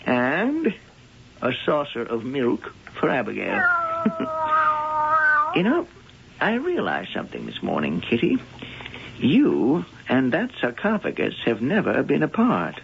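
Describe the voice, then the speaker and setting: Suave evasive professor, old radio drama, lively pitch, gravelly, dull lo-fi sound. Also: male voice, slow, long pauses, heavily acted. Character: suave male professor, cat expert, secretive. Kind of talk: 1970s radio acting. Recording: lo-fi old radio broadcast with hiss.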